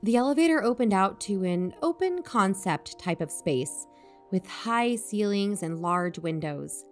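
An actress plays an earnest female podcast investigator. Her medium-pitched voice is composed and measured, telling the story like a report. Faint music sits underneath. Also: animated, lightly acted. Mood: measured, descriptive. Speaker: podcast host. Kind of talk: scripted narration in audio drama.

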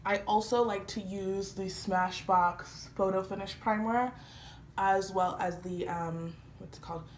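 A young woman talking casually through a makeup routine, slightly congested from a cold, chatty and relaxed with frequent fillers. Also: mid-range voice, a little slow. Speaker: beauty vlogger. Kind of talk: casual makeup tutorial talk. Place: small indoor room, patterned wall with lights. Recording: roomy echo, faint background hiss.

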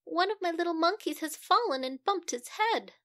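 A woman's voice: very high-pitched, expressive, normal speed, pushed and bright, no echo, voice pushed up, heavily acted. An evasive, fretting monkey mum from a storybook as a woman narrator reads her. The recording is dry and close, with little room sound. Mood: fretful, urgent.

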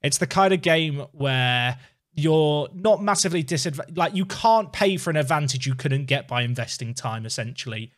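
A man's voice: a little high, animated, moderate pace, fairly bright tone. A man in his thirties chatting casually and commentating as he livestreams a game from a small room at home. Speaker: game streamer.

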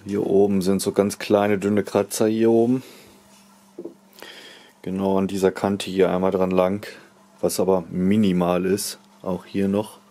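A man's voice: low-pitched, little pitch movement, unhurried, mellow. A man in his fifties giving casual unboxing commentary as a product reviewer, chatting and thinking aloud as he inspects things at a table in a small wood-panelled room.

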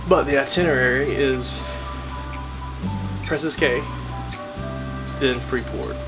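A young man chats casually into a camera held at arm's length in a small cruise cabin. He rambles with lots of fillers and pokes fun at himself. His voice sits at a middling pitch, with music playing behind him. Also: fairly even intonation, slow pace. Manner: rambling, self-deprecating, filler-heavy. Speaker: vlogger. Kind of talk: casual vlog chat.

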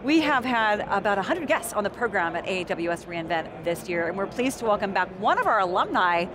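A middle-aged woman hosting a live interview, speaking in a bright, brisk presenter manner. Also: mid-range voice, big pitch swings, a crowd in the background. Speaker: host. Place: convention hall set. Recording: trade show noise behind.

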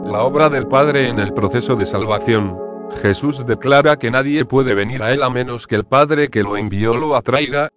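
A man giving a scripted devotional reflection on a gospel passage in a steady, even reading voice. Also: medium pitch, dull audio.

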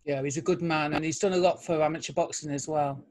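A woman's voice: sits low, steady tone, fast, a little mellow. A middle-aged woman, interviewing casually from a bedroom on a video call. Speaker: interviewer, host. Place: indoors, bedroom at home.

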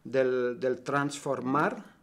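An older man talks very slowly, stopping often to search for words and restarting phrases. He is from Germany and answers interview questions while seated in a bright room by tall windows. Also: mid-range voice. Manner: slow, halting, many fillers and restarts. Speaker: cooperative member.

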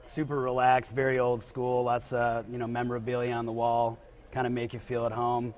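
A man in his thirties talking casually about restaurants as a guest in a TV news studio, relaxed and hesitant, with lots of fillers. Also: medium pitch, fairly quick.

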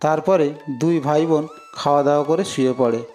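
A man's voice: on the high side, fast talker, loud music behind the voice. A man narrating a scripted cartoon story as a storyteller.

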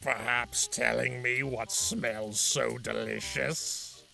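A woman's voice: extremely low pitch, fairly even intonation, takes it slowly, creaky, sharp tone, soft background music, voice pushed down, exaggerated voice. A woman voicing a lovestruck male centaur villain, gushing and flirting in a sweetly smitten drawl in a story reading. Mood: lovestruck, flirty. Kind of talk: dramatic fan fiction reading.

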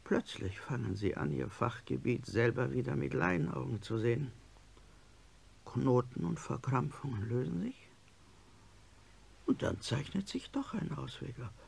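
An actor playing an ailing, stubborn male industrialist in a radio play, gravelly and husky, speaking with deliberate, sardonic insistence. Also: mid-range voice, animated, a little mellow, a little hiss or hum. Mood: commanding. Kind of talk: German radio play acting.

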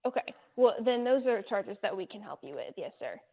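A woman's voice: mid-range voice, sing-song, a little fast, gravelly, crowd noise. A woman working as a law office receptionist, talking politely and procedurally on a phone call. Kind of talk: customer service phone talk. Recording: muffled narrow-band phone line.

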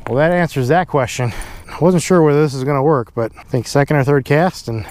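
A man's voice: on the high side, brisk, darkish tone, no echo. A man, chatting to a chest-mounted camera while fishing on a windy, cold pond shore. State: cold, runny nose. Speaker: fishing vlogger. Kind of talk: casual outdoor narration. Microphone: action camera on chest harness.

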